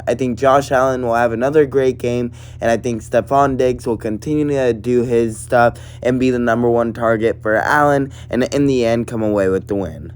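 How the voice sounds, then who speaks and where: A man with a raspy, slightly hoarse voice, hosting casual sports prediction commentary in a matter-of-fact way. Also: medium pitch, a little mellow. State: hoarse from partly lost voice. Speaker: sports prediction video host.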